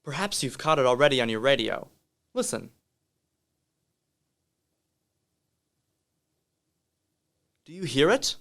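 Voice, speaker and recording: A man playing an excitable, awestruck radio reporter in a radio play, speaking in a dramatic, halting announcer style. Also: medium pitch. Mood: intrigued, urgent.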